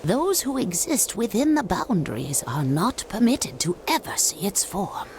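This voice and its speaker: An actress voicing a cryptic, solemn old woman fortune-teller in a game cutscene, speaking in a hushed, measured way. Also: mid-range voice, big pitch swings, a little hiss or hum. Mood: stern. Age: older. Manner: slow, measured, mysterious. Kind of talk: video game cutscene dubbing.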